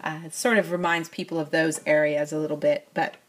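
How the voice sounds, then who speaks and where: A woman's voice: deep voice, flat delivery, fairly quick. A middle-aged woman casually narrating a toy unboxing at a tabletop, chatty and full of fillers. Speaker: toy review vlogger. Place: at a tabletop, off camera.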